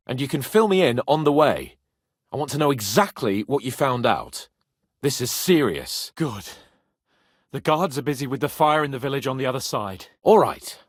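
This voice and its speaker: A young medieval lord for a video game. He is imperious and quick-tempered, yet playful and teasing. His pitch swings widely in a very dramatic delivery, and the recording is dry and close. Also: no echo. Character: haughty young medieval nobleman, playful, hot-tempered, fond of companion. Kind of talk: video game character acting.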